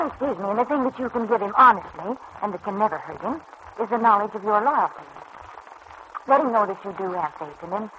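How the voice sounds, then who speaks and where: An actress playing a kindly, sensible woman confidante in a vintage radio serial, giving measured, reassuring advice. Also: medium pitch, close to an everyday voice. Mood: sincere, advising. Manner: measured, reassuring, gently advising. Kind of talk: 1940s-50s radio serial acting. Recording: dull, noisy old radio recording.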